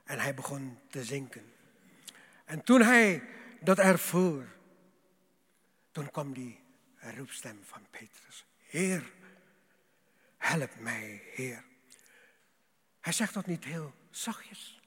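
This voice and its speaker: Male preacher, husky and slow, delivering an emphatic, dramatic sermon with repeated phrases in a reverberant hall. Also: on the high side, expressive, fairly bright tone. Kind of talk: sermon to a congregation. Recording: echoing hall, long reverb.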